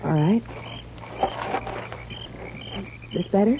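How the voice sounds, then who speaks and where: A woman's voice: medium pitch, quick pace, lightly acted. An actress playing a nervous, evasive young frontier woman in a 1950s radio western, hesitant and guarded. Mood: uneasy. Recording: dull vintage 1950s radio recording, hiss.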